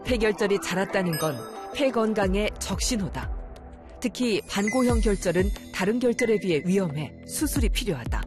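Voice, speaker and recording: A narrator reading a scripted medical documentary in a measured, formal way. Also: mid-range voice, animated. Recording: music behind the voice. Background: background music.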